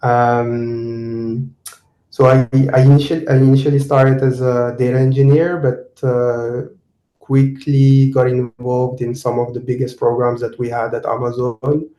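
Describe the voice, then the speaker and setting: A man from Morocco giving a tech seminar talk and answering interview questions, halting with many fillers and restarts. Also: mid-range voice, level tone, mellow. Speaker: guest speaker, technical program manager. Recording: roomy echo.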